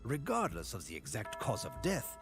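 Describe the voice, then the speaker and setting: An actor playing a solemn storyteller, giving grave, theatrical scripted narration of medieval history for a video game. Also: male voice, medium pitch, huge pitch swings, normal speed, over music.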